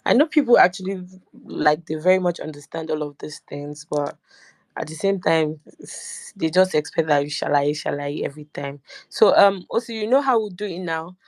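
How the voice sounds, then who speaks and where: A woman with a low voice, chatty as she moderates and brings speakers up in turn. The recording is dry and close. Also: moderate pace, darkish tone, dry recording. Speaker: co-host moderating the audio room. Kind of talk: casual moderation of a live audio chat.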